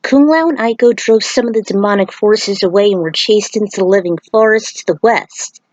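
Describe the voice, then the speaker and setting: A woman's voice: medium pitch, normal speed, a little mellow, no echo, low-quality recording. Woman narrating an action story, dry sound. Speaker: fan fiction author reading aloud. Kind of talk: narrated storytelling with casual asides.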